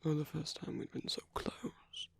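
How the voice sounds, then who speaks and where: A man playing a suave, sly aristocratic villain in an ASMR roleplay, speaking in a soft, breathy, often near-whispered voice with languid, teasing politeness and veiled menace. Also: measured pace, dry recording, lightly acted. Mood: teasing, seductive. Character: suave sly aristocratic villain, flirting and secretly scheming at a masquerade. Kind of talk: ASMR roleplay, intimate scripted acting.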